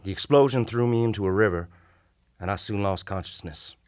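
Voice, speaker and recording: A middle-aged actor playing a haunted Confederate lieutenant, gravely and formally recounting a horror from his journal in a radio play. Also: on the low side, darkish tone, natural voice. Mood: grim, intense. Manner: grave, formal, deliberate storytelling. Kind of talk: dramatic old-time radio play narration. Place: small radio studio. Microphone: vintage-style studio microphone at close range. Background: music.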